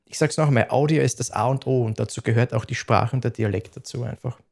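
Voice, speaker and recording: Measured man with a dialect. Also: medium pitch, creaky, a little mellow. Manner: sober, measured, explains at length with restarts. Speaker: streamer. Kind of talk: conversation.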